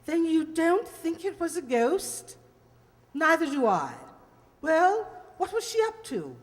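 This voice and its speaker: A woman's voice: very high voice, very animated, slow, full character voice. Radio mystery dowager type played by a woman: clipped, imperious, impatient, swooping intonation, roomy reverb. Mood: impatient, curious. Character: blunt, impatient, nosy aristocratic older lady. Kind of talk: radio play acting. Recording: echoey hall reverb.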